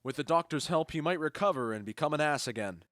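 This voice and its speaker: A man's voice: a little high, animated, fast, a bit bright, no echo, studio quality. A man playing a wry, genial noble duke in an audio drama, assured and courtly. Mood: wry amusement. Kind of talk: audio drama Shakespeare in modern English.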